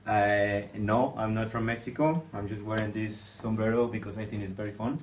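A young man with a lowish voice gives a friendly, easygoing introduction. He is recorded from across a small office, with faint hiss behind him. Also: fairly even intonation, normal speed. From Colombia. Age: young adult. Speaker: student volunteer photographer. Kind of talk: casual self-introduction. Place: small office with green walls. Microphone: far camera. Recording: distant camera, faint hiss.

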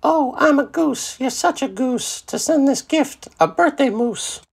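A man voicing an excitable, fussy lady goose from a storybook in falsetto. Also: unhurried, vocal fry, exaggerated voice. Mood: gushing, eager. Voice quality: high falsetto.

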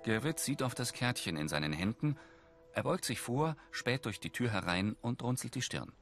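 A man's voice: mid-range voice, very animated, moderate pace, music in the background. A man reading scripted narration as an audio describer for a television drama.

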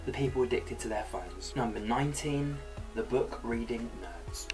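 A young man hosts a comedic list video from a bedroom. His voice is medium in pitch, picked up by a camera at arm's length, with music clearly playing behind him. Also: moderate pace. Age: young adult. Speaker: video host. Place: small bedroom.

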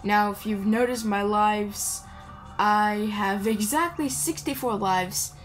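A woman's voice: mid-range voice, takes it slowly. A woman giving casual gameplay commentary in a rambling, playful way, chatting to the game and its characters. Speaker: gaming video creator. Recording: game music behind the voice. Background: video game music.

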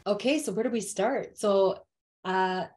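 A woman's voice: mid-range voice, measured pace, darkish tone. Upbeat American woman on a video call. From United States. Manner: upbeat, laughs easily. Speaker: relationship coach guest. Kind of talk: casual interview talk. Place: home room.

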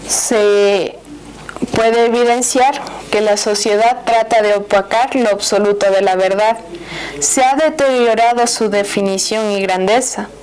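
A woman delivering prepared formal conclusions in a measured way at a panel. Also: fairly high pitch, a little mellow, poor audio. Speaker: panel speaker.

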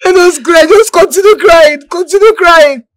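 A woman playing a worried Nigerian mother, high-pitched and insistent. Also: animated, slow pace, slightly rough, no echo, heavily acted. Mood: scolding. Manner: scolding then soothing, pleading repetitions. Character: worried Nigerian mother scolding and comforting her heartbroken daughter. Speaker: mother of the heroine. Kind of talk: film drama acting.

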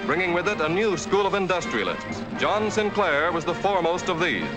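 High-voiced newsreel announcer over loud music. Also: male voice, animated, poor audio. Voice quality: brisk, declamatory announcer delivery. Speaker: newsreel narrator. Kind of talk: 1940s newsreel narration. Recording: old film soundtrack under loud music.

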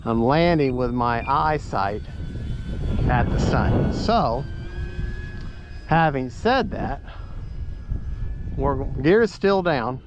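A man's voice: mid-range voice, lively intonation, extremely slow, long pauses. A man giving casual, rambling, folksy running commentary as he flies a model plane outdoors, repeating himself as he goes. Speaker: RC plane pilot and vlogger. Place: outdoors at an open model airfield. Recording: muffled outdoor recording, loud wind noise.

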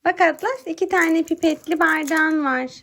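High, bright-voiced mother playing with her child. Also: normal speed. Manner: coaxing, encouraging, asking simple questions. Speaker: mother playing pretend with her young son. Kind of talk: casual playful chat with a small child.

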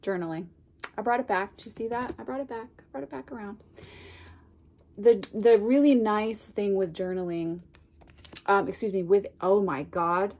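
A woman's voice: medium pitch, moderate pace. A woman in her thirties with a stuffy, congested voice from a cold, chatting casually to camera as she unboxes a card deck at home, gushing and wandering into asides. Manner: gushing and chatty, drifting into asides and soft whispers. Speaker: tarot and oracle deck reviewer. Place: home room lined with bookshelves, indoors. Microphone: camera in front at desk distance.

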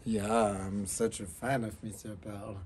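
A man's voice: on the low side, level tone, unhurried, a little mellow, a little hiss or hum. A young man with a French accent explaining things casually as a designer in a showroom, phrasing his English hesitantly but with enthusiasm. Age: young adult. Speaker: fashion designer presenting a collection.

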